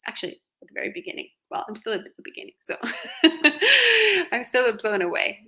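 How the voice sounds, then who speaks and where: A woman with an Italian accent hosting a casual live question-and-answer chat, talkative and quick to laugh. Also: a little high, vocal fry, pushed and bright. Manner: chatty, laughs often, fillers like "you know". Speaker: host reading viewer questions.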